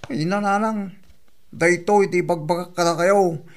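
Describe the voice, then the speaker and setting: A woman's voice: on the low side, fairly even intonation, a little slow. A woman playing a put-upon member of a household, answering back in an exasperated way in a comedy radio drama. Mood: protesting.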